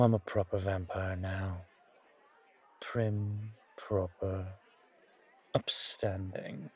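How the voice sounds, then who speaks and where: A man playing a suave, centuries-old vampire in a horror ASMR roleplay, languid and genteel with quiet menace and a husky, breathy voice. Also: lowish voice. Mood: amused, sardonic.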